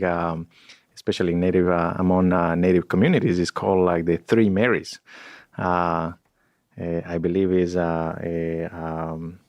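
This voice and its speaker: A man's voice: low-pitched, a little mellow, dry recording, clean studio sound. A man, a guest in a podcast interview, talking in a reflective way and searching for words. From Ecuador. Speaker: guest scientist.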